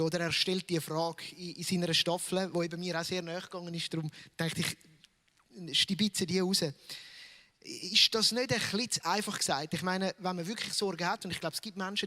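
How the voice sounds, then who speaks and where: Swiss German male interviewer, gently probing, reverberant hall. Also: sits high. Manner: gently probing, asks long questions. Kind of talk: interview questions.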